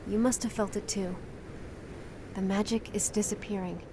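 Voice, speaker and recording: A woman's voice: mid-range voice, steady tone, moderate pace, natural voice. Woman acting a resolute young heroine, noisy backdrop. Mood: earnest pleading. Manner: earnest, composed, firm. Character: resolute, principled young heroine, calm and earnest. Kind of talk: dramatic fantasy game voice acting.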